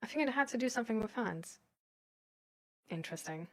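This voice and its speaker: A woman's voice: on the high side, animated, fairly quick. A woman from Germany chatting casually as a live host, rambling and thinking aloud in a hesitant, giggly way. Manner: giggly, laughs often while talking. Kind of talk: casual chat.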